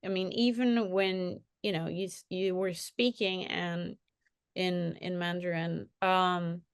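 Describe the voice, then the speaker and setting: A woman's voice: fairly low pitch, steady tone, slow, clear, resonant tone, dry recording, clean studio sound. A woman moderating a panel conversation, speaking reflectively with frequent restarts and fillers. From partly raised in Switzerland. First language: bilingual, French second language.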